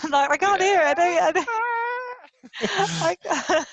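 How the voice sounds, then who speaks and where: A woman's voice: very high-pitched, slow pace. A woman co-hosting a podcast with casual banter. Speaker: podcast co-host.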